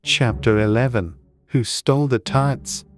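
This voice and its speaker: A man's voice: medium pitch, steady tone, measured pace, faint music behind. A man reading scripted storybook narration as an audiobook narrator.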